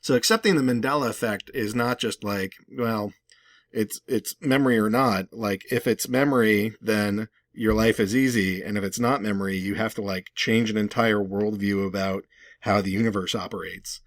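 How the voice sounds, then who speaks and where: A man's voice: on the low side, steady tone, moderate pace, no room sound, clean studio sound. A man hosting a video with casual, rambling and emphatic conversational commentary. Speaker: video host.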